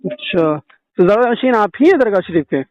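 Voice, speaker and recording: A man's voice: high-pitched, expressive, quick pace, darkish tone, no echo. A man from Mumbai phoning as a caller, asking questions politely and a little hesitantly. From Mumbai, India. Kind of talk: phone conversation, questioning. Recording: dull lo-fi phone call recording.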